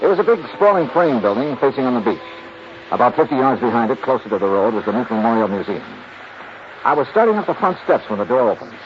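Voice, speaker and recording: An American actor playing a wry, unflappable insurance investigator in a 1950s radio detective drama, clipped and casual. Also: male voice, mid-range voice, big pitch swings, quick pace, lightly acted. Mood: crisp narration. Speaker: lead actor and narrator. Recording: muffled, noisy 1950s radio recording.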